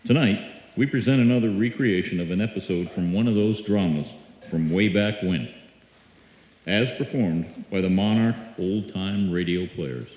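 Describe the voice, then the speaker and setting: A man's voice: low-pitched, normal speed, darkish tone. A man announcing in formal, dramatic old-time radio style. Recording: echoey hall, live stage performance.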